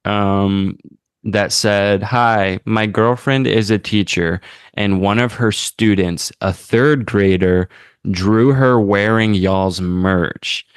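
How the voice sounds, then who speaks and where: Slow-paced, campy man with a smooth voice. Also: lowish voice, fairly even intonation, no room sound. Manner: slangy, campy, sweary. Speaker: podcast host. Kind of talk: casual comedic banter.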